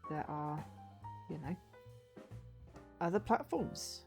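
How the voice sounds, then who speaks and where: A woman's voice: low-pitched, sing-song, slow, vocal fry, a little mellow, soft background music. Sniffly woman streamer with a cold. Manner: chatty and hesitant, full of ums, unsure of name pronunciations. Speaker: game streamer. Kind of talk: casual livestream chat, reading a game blurb aloud.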